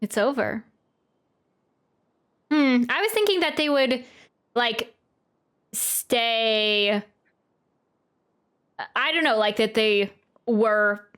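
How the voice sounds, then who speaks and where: A woman's voice: a little high, fairly bright tone. A young woman reacting casually as she streams a game playthrough into a close mic. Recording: game music behind the voice.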